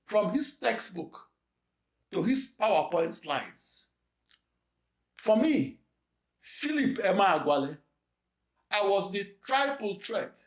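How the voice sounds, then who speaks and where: A man delivering a scripted lecture in a slow, measured, emphatic way. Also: high voice, roomy sound.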